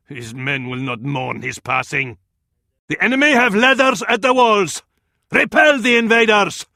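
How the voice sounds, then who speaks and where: An actor with an Arabic accent playing a fervent, scornful medieval advisor to a sultan in a video game, in a florid, declamatory style. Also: male voice, high-pitched, gravelly, pushed and bright, no room sound, clean studio sound, full character voice. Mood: fierce, contemptuous. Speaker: battle advisor in a strategy game. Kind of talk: theatrical video game voice acting.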